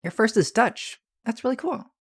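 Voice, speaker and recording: A man with a soft, feminine-sounding voice, chatting casually on a livestream and answering viewer messages in a rambling, soft-spoken way. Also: extremely high pitch, lively intonation, moderate pace, gravelly, slightly rough. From Canada. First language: English. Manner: soft-spoken, rambling, with restarts and small laughs. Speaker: streamer.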